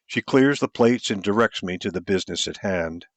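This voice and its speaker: Male audiobook narrator, lowish voice, first-person romance storytelling, close dry recording. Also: lively intonation, normal speed, dry recording.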